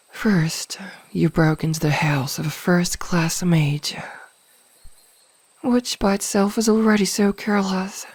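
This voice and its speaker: A woman playing a possessive, teasing mage in an ASMR fantasy roleplay, speaking softly and coaxingly with condescending endearments. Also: lowish voice, breathy edge, no echo, heavily acted. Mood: teasing, confident. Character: possessive, teasing female mage hypnotizing a burglar.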